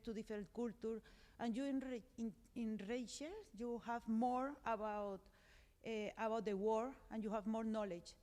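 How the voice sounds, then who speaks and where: A woman's voice: a little high, darkish tone. Earnest Colombian woman in a hall. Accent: Colombian Spanish. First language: Spanish. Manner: earnest, searching for words, frequent hesitations. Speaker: panelist. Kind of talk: panel discussion. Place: conference hall. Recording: reverberant conference hall.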